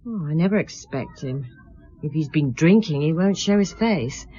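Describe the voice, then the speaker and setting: A woman's voice: low-pitched, expressive, moderate pace, dark tone, slightly rough, dry recording, muffled recording. A woman playing a defensive, impatient wife under police questioning in a radio drama, curt and exasperated. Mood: dismissive, exasperated. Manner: curt, exasperated, dismissive answers.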